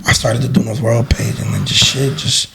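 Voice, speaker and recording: Young man, twenties, from los angeles, gravelly fry, slangy rambling chat, noisy background. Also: mid-range voice, fairly even intonation, fairly quick. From Los Angeles, United States. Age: 20s. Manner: loose slangy talk full of fillers and swearing. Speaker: guest, online video creator. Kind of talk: casual podcast chat.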